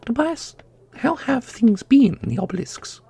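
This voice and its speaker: Woman acting as a high, husky, commanding celestial heroine. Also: lively intonation, unhurried, dark tone, heavily acted. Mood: composed. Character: commanding celestial woman searching for her lost grandmaster sibling. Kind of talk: fantasy audio roleplay acting.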